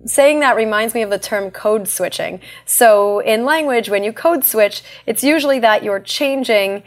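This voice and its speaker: A woman from New York with a light New York accent, an accent coach explaining her work in a measured, thoughtful way with some restarts. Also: a little high. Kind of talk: podcast interview answers.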